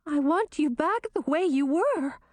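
A woman's voice: sits high, expressive, unhurried, a little mellow, no echo, pitched above the performer's own voice. A woman voicing a frightened, pleading young princess in a storybook reading.

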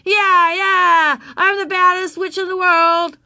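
Woman acting a rowdy yelling little witch girl. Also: extremely high pitch, level tone, slow pace, no echo, clean studio sound, pitched above the performer's own voice, heavily acted. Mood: gleeful, rowdy. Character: boisterous little girl playing a masked witch.

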